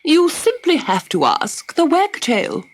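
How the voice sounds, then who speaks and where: A woman's voice: sits high, huge pitch swings, slow, pitched above the performer's own voice, exaggerated voice. A woman voicing a haughty, pompous male platypus who lectures grandly and drawls with boredom. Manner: lofty, scolding, then bored and drawling. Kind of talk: storybook character voice.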